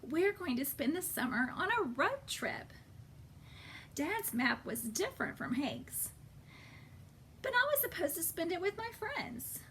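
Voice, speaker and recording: A woman's voice: extremely high pitch, big pitch swings, unhurried, a bit bright, a little hiss or hum, voice pushed up, exaggerated voice. A woman reading as a sulky, screen-loving girl who grumbles dramatically through a storybook road trip. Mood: sulky, grumbling.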